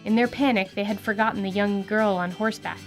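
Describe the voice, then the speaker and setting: A woman giving vivid, deliberate scripted narration for a fantasy radio drama. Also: mid-range voice, fairly even intonation, poor audio. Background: music.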